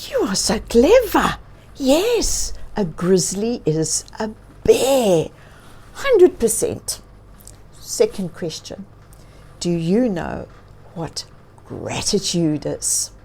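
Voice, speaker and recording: A woman reading a story aloud for children as host, expressive and encouraging, often putting questions to listeners. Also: medium pitch, extremely slow, slightly rough.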